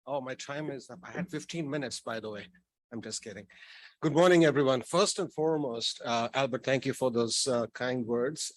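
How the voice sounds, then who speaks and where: A man from Tanzania presents at a conference in an easygoing way, joking and restarting his sentences. His voice sits in a middle pitch. The sound is close and dry. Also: no echo. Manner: conversational with light jokes and restarts. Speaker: conference speaker. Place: conference hall.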